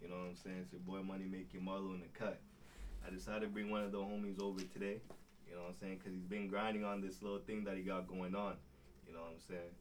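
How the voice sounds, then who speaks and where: A man's voice: low voice, little pitch movement, quick pace. A young man hosting a casual interview, slangy and easygoing, often repeating short acknowledgements. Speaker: podcast host. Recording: distant mic with faint background noise.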